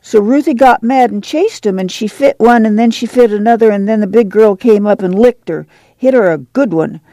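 A woman voicing a young farm boy who tells a story in a breathless, rushed run-on way. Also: on the high side, mellow, no echo, voice pushed up, lightly acted. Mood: breathless, eager. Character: breathless little farm boy, excited tattler.

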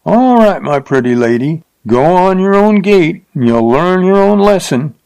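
A man's voice: on the high side, animated, a little slow, vocal fry, darkish tone, dry recording, pitched above the performer's own voice, low-quality recording. A man voicing a crude, drunken frontier rider with a coarse rustic drawl. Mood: drunken menace.

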